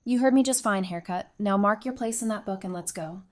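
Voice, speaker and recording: A woman's voice: medium pitch, a little fast, darkish tone, lightly acted. A woman playing a frank, teasing, friendly nerd in an audio drama, with wry conversational delivery. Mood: playful, teasing. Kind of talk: fan audio drama acting.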